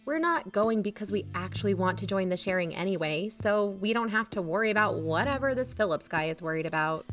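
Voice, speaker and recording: A woman's voice: medium pitch, expressive, brisk, soft background music, lightly acted. A woman playing a skeptical, unfazed young amateur investigator in casual fiction-podcast dialogue, dry and dismissive.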